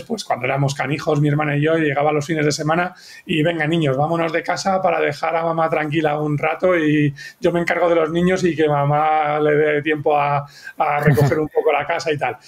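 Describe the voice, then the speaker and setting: Guest, man in his 40s, Madrid accent, rambling and warm-humored, webcam audio. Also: fairly high pitch. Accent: Madrid Spanish. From Madrid, Spain. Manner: chatty, self-correcting, laughs often. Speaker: guest photographer. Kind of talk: casual conversation. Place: home room. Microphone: video call, webcam.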